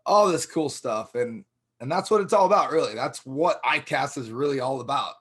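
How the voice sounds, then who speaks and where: A middle-aged man in an easygoing conversation, with a relaxed, friendly manner. He agrees often and laughs easily. His voice sits in an ordinary middle range. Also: mid-range voice, normal speed, husky. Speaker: host. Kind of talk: casual chat.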